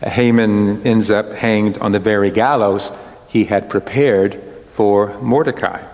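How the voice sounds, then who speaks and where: A man in his sixties preaching a storytelling sermon as a pastor, deliberate and phrase by phrase. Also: fairly low pitch, fairly even intonation, darkish tone. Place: indoors at a church pulpit. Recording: echoey hall, long reverb.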